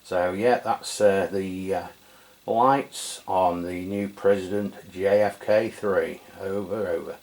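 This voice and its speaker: A man with a notably low voice explains a product in a laid-back, conversational manner. He often pauses on fillers and corrects himself mid-sentence, like a hobbyist talking to his regular viewers. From England. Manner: relaxed and chatty, with frequent fillers and self-corrections. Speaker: radio equipment reviewer. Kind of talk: casual product review walkthrough.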